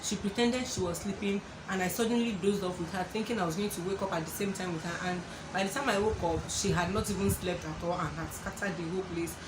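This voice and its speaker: A young woman with a Nigerian accent, telling a personal story to her viewers in an animated way and acting out the voices of others. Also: mid-range voice, quick pace, few pauses. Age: young adult. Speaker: vlogger. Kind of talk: storytelling to camera. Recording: echoey room with background noise.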